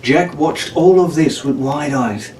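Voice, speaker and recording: A man's voice: on the high side, slow, darkish tone, distant mic, soft background music. A man reading a storybook aloud as a dramatic narrator. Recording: roomy, off-mic, faint background noise.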